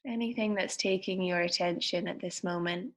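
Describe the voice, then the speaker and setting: A woman leading a guided meditation, speaking in a soothing, gentle manner with unhurried phrases and pauses. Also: lowish voice, steady tone.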